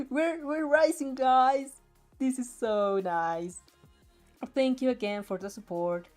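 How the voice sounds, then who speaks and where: A woman's voice: high-pitched, takes it slowly, darkish tone, soft background music. A young woman reading a fanfiction aloud and chatting casually with viewers in a giggly, rambling, easily flustered way. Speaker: fanfic reader and streamer. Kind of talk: reaction reading and casual chat.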